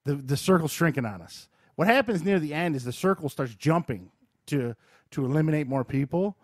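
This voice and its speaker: A middle-aged man hosting a podcast, telling casual anecdotes in an animated, excitable way with some swearing. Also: on the high side, a little fast, dry recording, clean studio sound. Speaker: podcast host.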